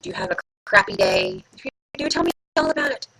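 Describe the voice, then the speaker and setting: A woman chats live with her viewers from home in a playful, self-mocking way. Her voice swoops widely and sounds bright and punchy, with a gravelly fry underneath. The sound is dry and close. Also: very deep voice, huge pitch swings, fast, poor audio. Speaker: livestream host. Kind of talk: casual live chat with viewers.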